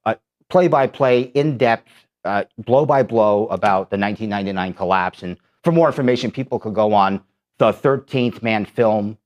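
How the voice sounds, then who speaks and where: A man's voice: on the low side, moderate pace, darkish tone, muffled recording. A man from Manhattan, a documentary filmmaker, talking as a guest in a studio and telling stories in a chatty way. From Manhattan, New York. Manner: chatty, storytelling, laughs easily. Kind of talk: casual studio interview. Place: radio-style studio.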